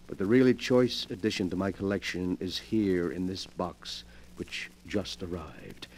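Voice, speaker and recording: A low male voice belongs to a sly invalid gentleman in a vintage radio mystery. He relishes every word with exaggerated courtesy and ghoulish, theatrical pleasure. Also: a little slow. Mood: unctuous, pleased. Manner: effusively polite, theatrical, relishing his words. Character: sly, morbid invalid gentleman gloating over macabre collection. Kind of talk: 1940s radio mystery acting. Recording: vintage 1940s radio broadcast.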